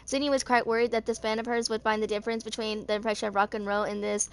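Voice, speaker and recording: Young woman in her 20s reading game lore out loud, kinda high voice, stumbles on words and rereads bits. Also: flat delivery. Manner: halting, stumbles over words and rereads them. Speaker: video creator reading game character lore. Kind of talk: reading written lore aloud, amateur narration.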